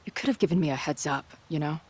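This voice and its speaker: A woman's voice: low voice, fast, darkish tone, breathy edge. A woman playing a brash, sardonic young punk woman with a tender side in a video game drama, blunt and casual. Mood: wry, subdued. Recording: studio voice under background music.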